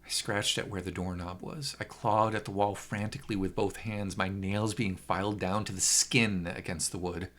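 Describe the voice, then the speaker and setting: A man's voice: low voice, steady tone, moderate pace, hardly any pauses, a bit bright. A man narrating a first-person horror story with an intense, theatrical delivery.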